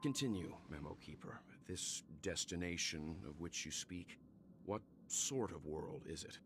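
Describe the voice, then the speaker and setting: A man's voice: low-pitched, expressive, measured pace. An actor playing a grave, commanding man who speaks in formal, old-fashioned phrases in a dramatic game trailer. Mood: grave curiosity. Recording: clear music behind the voice. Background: music.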